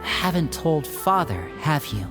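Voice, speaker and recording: Protective, articulate teenage brother: game drama, composed and probing, music underneath. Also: male voice, a little high, sing-song, unhurried. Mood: suspicious, guarded. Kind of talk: video game voice acting. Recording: music behind the voice.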